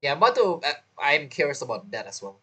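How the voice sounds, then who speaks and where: Animated man musing over a game. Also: fairly high pitch, fast, breathy edge. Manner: rambling, musing, informal. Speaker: gaming video creator. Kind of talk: casual gameplay commentary.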